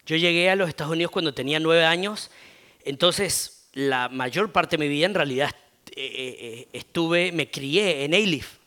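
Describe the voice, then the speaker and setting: A man with an Argentine Spanish accent preaching a conversational sermon as a pastor, often pausing on "eh" and asking "¿no?". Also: a little high, pushed and bright. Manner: conversational, frequent filler "eh" and tag "¿no?".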